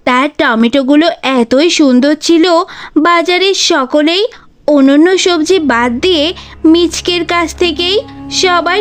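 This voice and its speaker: High-voiced woman narrating a children's story. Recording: faint music behind the voice.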